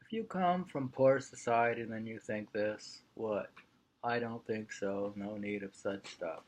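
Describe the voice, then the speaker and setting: A man's voice: on the low side, a little slow, low-quality recording. A man reading an interview aloud in an informal way. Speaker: reader of a printed interview.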